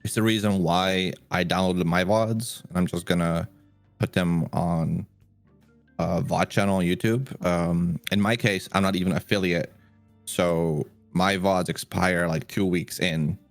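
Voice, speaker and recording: A man co-hosting a podcast in casual chat, measured and agreeable, laying out his points step by step. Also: low voice, fairly even intonation, no echo, faint music behind. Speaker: podcast co-host.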